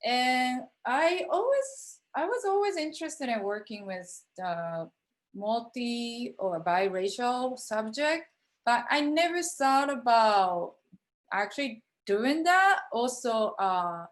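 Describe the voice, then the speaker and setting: Japanese-born woman artist on a video call, hesitant and full of fillers, a bit high-pitched. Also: lively intonation, takes it slowly. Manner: hesitant, many fillers and restarts. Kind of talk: virtual studio visit talk.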